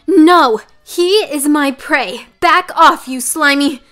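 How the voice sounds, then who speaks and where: A woman's voice: high-pitched, slow pace, sharp tone, faint music behind, heavily acted. A woman voicing a mocking, vengeful young villainess gone rogue in a fan cartoon comic dub. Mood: furious. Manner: taunting, sneering.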